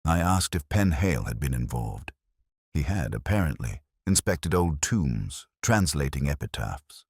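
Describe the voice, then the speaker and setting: A man delivering scripted literary detective narration in a measured, wry, reflective and formal manner. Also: rumbling low, big pitch swings, a little mellow, slightly rough, no room sound, studio quality. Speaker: first-person narrator of a detective story.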